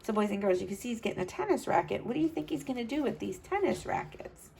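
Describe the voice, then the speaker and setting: A woman reading a picture book aloud to children, animated and often speaking to them directly. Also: fairly high pitch, quick pace, gravelly, a little hiss or hum, poor audio. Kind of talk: expressive read-aloud storytelling with asides to young listeners.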